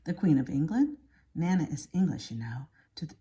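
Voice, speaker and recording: Animated, husky woman reading a storybook aloud. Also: low-pitched, moderate pace, muffled recording. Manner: expressive, exaggerated emphasis with dramatic hushes. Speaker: elementary school teacher reading aloud. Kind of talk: animated children's picture book storytelling.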